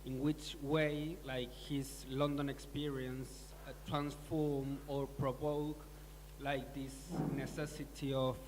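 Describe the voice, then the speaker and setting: A man's voice: medium pitch, flat delivery, slow pace, a little mellow. A man in the audience tentatively asking a lecturer a question. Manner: tentative, wondering aloud. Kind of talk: live public Q&A. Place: large lecture hall. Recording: reverberant hall with faint hum.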